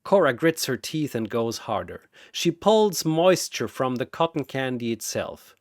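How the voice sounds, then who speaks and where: A man's voice: mid-range voice, sing-song, measured pace, dry recording, studio quality. A man telling a scripted battle story in a theatrical, intense and emphatic narrator's style. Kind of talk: dramatic scripted fight narration.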